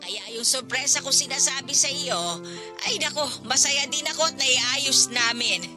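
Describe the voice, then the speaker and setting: A radio drama grandmother, elderly, caring and teasing. Her voice is high and chatty, with exclamations, over a clear music bed. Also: a little slow. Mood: pleased. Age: older. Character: caring, cheerful elderly grandmother keeping a secret. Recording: music bed under dialogue.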